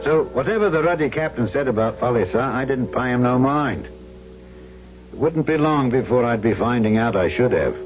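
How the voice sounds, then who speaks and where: A man's voice: medium pitch, animated, moderate pace, natural voice. An actor playing a decent, good-natured island trader who tells his tale in a folksy, earnest way in a 1970s radio drama. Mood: wry storytelling. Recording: muffled vintage radio, music behind.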